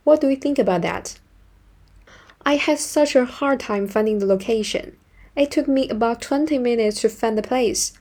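A woman's voice: a little high, normal speed, darkish tone. A woman working as an English teacher, narrating a scripted language lesson and modelling example phrases in an instructional way.

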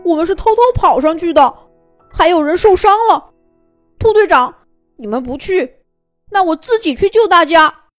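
A woman's voice: extremely high pitch, animated, normal speed, pauses often, faint music behind, pitched above the performer's own voice. Woman voicing a frantic little bear cub. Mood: urgent pleading. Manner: urgent, pleading. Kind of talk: children's storybook reading.

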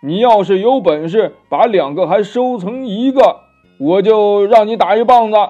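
Guy doing a blustery old demon king in a storybook, high strained pitch, muffled audio with music. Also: moderate pace, mellow. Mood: taunting. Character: blustering old demon king, bullying, then pleading in agony. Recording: dull lo-fi storytelling recording with music.

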